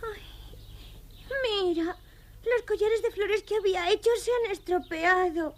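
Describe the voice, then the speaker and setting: Earnest cartoon little girl, extremely high pitch, unhurried, expressive, faint music. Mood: dismayed. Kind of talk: animated series dub acting.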